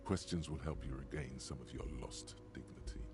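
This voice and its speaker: A man's voice: extremely low pitch, moderate pace, background noise. A male actor voicing a sardonic, taunting inner voice in a game. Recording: game music behind.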